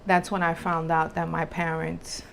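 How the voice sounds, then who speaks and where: A woman's voice: low voice, little pitch movement, normal speed, creaky, a bit bright, a little hiss or hum. A woman from the Republic, telling personal stories casually and emphatically, mixing in Spanish. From Dominican Republic, later New York City. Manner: informal, emphatic repetition, mixes in Spanish. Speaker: podcast guest, spiritual entrepreneur. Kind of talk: candid personal storytelling, casual.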